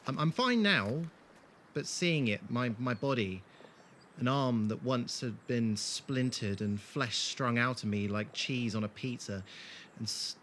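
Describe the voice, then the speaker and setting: Bright-voiced actor as a gentle, awkward wanderer. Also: male voice, mid-range voice, slight background noise, natural voice. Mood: haunted. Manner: hesitant, earnest. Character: gentle, awkward, war-scarred male wanderer with strange powers. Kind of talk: audio drama acting.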